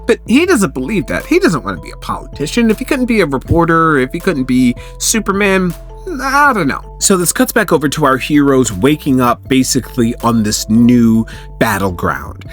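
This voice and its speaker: A man hosting a recap in casual commentary, telling the story in an animated, conversational way. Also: mid-range voice, moderate pace, faint music behind. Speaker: host of a comic book recap channel.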